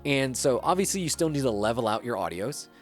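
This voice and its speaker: A man chatting casually at his home streaming setup, his pitch jumping around in a lively, animated way as he rambles and hunts for words. He sounds a little stuffy, close on a dynamic streaming mic, with faint music playing behind him. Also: brisk. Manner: rambling, searching for words, self-correcting. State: slightly stuffy. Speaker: gaming streamer. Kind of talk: casual gameplay chat. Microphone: dynamic streaming microphone. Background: faint background music.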